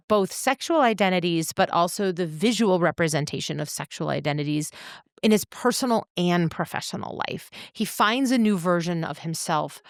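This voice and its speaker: A woman co-hosting a podcast, speaking in a measured, articulate way as she reflects and analyzes. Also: fairly low pitch, clear, resonant tone, no room sound, clean studio sound. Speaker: podcast co-host.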